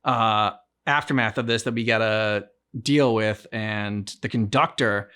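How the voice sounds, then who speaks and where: A man hosting a game reaction, chatting casually in a halting, rambling way and often trailing off mid-thought. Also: fairly low pitch, fairly even intonation, bright, forceful tone, clean tone. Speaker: reaction channel host. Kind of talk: casual reaction chat about a video game.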